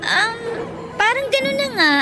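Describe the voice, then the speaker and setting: A woman's voice: very high-pitched, expressive, measured pace, over music. A woman playing a shy, naive and polite young college student in a radio drama, speaking hesitantly.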